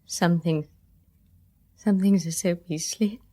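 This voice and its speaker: Wounded young Englishwoman, lowish voice. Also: fairly even intonation, warm tone. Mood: bitter, miserable. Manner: hesitant, halting confessions. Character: wounded, bitter, guarded young woman. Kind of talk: full-cast radio drama acting.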